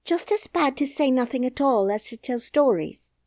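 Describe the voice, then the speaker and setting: A woman voicing a calm, dignified little princess who speaks quietly and firmly in an audiobook. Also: a little high, a little slow, no room sound, studio quality, pitched above the performer's own voice. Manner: quiet, composed, firm. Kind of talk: audiobook character voice.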